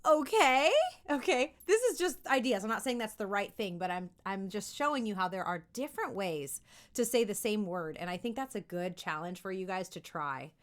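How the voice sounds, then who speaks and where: A woman giving an upbeat, conversational lesson as a voice acting coach, animated and encouraging, often checking in with listeners. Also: medium pitch, normal speed. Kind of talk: upbeat instructional talk to camera.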